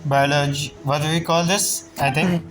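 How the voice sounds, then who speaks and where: A woman's voice: deep voice, fairly even intonation, normal speed. A woman streaming a game, giving casual commentary and muttering reactions to herself. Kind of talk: casual live gameplay commentary. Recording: game music behind the voice. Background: video game music.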